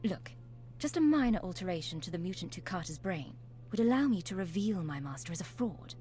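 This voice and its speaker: A woman's voice: fairly low pitch, lively intonation, brisk, warm tone, soft background music, close to an everyday voice. A woman playing a haughty, ambitious young Sith apprentice who schemes with disdain, in a video game. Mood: haughty, scheming. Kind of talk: video game character acting.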